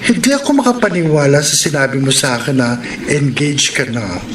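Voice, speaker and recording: Makulit na binatang nanunukso, medyo malayo sa mikropono. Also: male voice, on the high side, sing-song, brisk. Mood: skeptical, teasing. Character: chatty young male TV extra, teasing matchmaking cousin. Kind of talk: Filipino radio romance drama acting. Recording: roomy, distant mic, noisy background.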